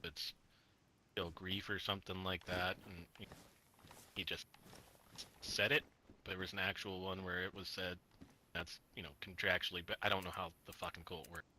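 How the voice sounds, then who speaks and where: A man's voice: deep voice, little pitch movement, moderate pace, long pauses, sharp tone, a little hiss or hum. A man talking in character during a survival roleplay game, rambling and swearing as he trails off mid-thought. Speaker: roleplay player.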